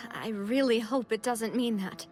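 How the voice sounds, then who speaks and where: A woman's voice: fairly high pitch, slow pace, darkish tone, faint music behind. An actress playing a thoughtful young woman in video game dialogue, reasoning aloud in an earnest way.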